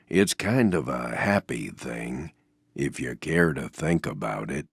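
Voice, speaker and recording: A man voicing a gloomy, mild-mannered toy donkey for a video game, with a deep, gravelly voice and a glum, resigned, self-deprecating drawl. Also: no room sound, clean studio sound, heavily acted. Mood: glum, grateful. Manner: slow, glum, resigned drawl with downcast understatement. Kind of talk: video game character voice lines.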